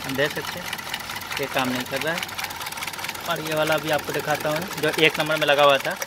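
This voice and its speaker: A man's voice: a little high, fairly even intonation, moderate pace. A man working as an auto electrician explains a repair step by step in an informal, hands-on tutorial style, often adding short check-in tags. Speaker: auto electrician and video host. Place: in and beside a car, at the engine bay. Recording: noisy handheld workshop recording with engine noise.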